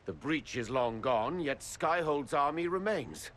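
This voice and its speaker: A man's voice: on the high side, animated, unhurried, a bit bright, a little hiss or hum. An actor playing a stern male nobleman envoy, speaking formally and firmly. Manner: formal, firm, courtly. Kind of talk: video game cutscene acting.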